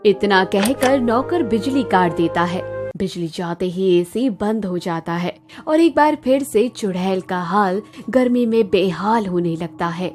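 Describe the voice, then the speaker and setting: A woman's voice: medium pitch, expressive, measured pace, darkish tone. Woman narrating a story over music. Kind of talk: scripted cartoon story narration.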